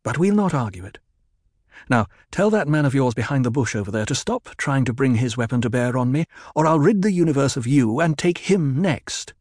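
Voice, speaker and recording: A man's voice: mid-range voice, animated, fairly quick, a little mellow, dry recording, clean studio sound, pitched above the performer's own voice, lightly acted. A man voicing a composed noblewoman who gives cool, clipped orders. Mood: commanding. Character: composed, commanding noblewoman, adult.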